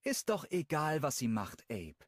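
A male audiobook narrator voicing a nervous, chatty middle-aged mother in a high, breathless voice. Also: wildly swooping pitch, measured pace, no echo, clean studio sound, exaggerated voice. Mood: eager. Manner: high, breathless, eager to change the subject.

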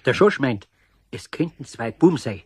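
Older Bavarian craftsman, husky. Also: mid-range voice, lively intonation, normal speed, a little mellow, no room sound, clean studio sound. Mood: reassuring, determined. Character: good-natured older craftsman, protective and plain-spoken. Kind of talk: radio play acting.

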